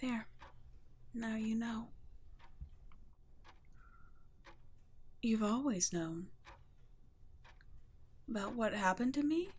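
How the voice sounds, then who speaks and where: A woman voicing a gentle, guilt-ridden vampire guardian in an ASMR roleplay, speaking in a soft, breathy half-whisper with a hushed, halting, tender delivery. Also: a little high, animated, exaggerated voice. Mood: anxious, tender. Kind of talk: ASMR roleplay, scripted intimate monologue.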